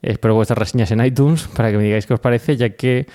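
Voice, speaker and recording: A man with an accent from Spain hosting a podcast, explaining things informally and pausing hesitantly mid-sentence. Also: mid-range voice, steady tone, warm tone. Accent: Spanish from Spain. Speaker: podcast host.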